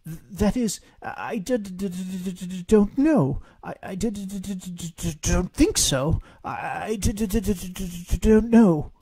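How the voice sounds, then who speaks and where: A man's voice: sits high, warm tone, slightly rough, dry recording, pitched above the performer's own voice. Man acting a nervous, badly stammering man. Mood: shaken. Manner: heavy stammer, halting.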